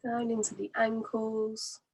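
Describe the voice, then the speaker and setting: A woman leading a guided relaxation as a meditation teacher, soothing and gently instructing in an unhurried way. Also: fairly high pitch, little pitch movement, creaky, breathy edge, poor audio.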